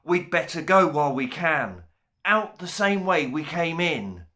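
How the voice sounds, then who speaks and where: A man's voice: on the high side, sing-song, unhurried, pushed and bright, pitched above the performer's own voice, full character voice. A man voicing a brave small boy in a raised, urgent voice.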